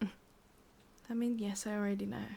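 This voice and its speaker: A woman with an English accent voicing a possessive, sadistic witch in a slow, breathy ASMR roleplay whisper. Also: medium pitch, flat delivery, warm tone. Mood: smug, teasing. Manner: slow, intimate, teasing. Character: sadistic, possessive witch, softly menacing and doting.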